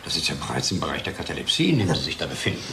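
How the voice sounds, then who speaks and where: A man's voice: rumbling low, sing-song, rapid-fire, heavily acted. An actor playing a pointed, insistent male laboratory scientist in a radio play. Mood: challenging. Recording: faint background noise.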